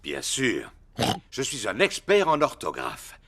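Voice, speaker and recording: A man voicing a jolly, proud father pig in a French cartoon dub, with a jovial, expressive manner. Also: mid-range voice, slow pace, gravelly, breathy edge, dry recording, heavily acted. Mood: good-natured.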